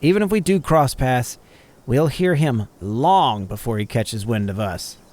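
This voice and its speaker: A man voicing a breezy, excitable woman who hunts cryptids, reading game dialogue in character. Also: mid-range voice, measured pace, natural voice. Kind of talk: reading visual novel dialogue aloud in character. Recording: music and chirping night insects behind.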